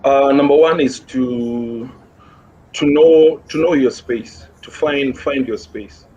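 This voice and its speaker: Male Kenyan guest, mid-pitched, encouraging, casual code-switching chat. Muffled, noisy sound. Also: takes it slowly, mellow. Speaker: gospel musician, interview guest. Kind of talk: casual interview, mixing English with Swahili slang. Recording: muffled recording with clear background noise.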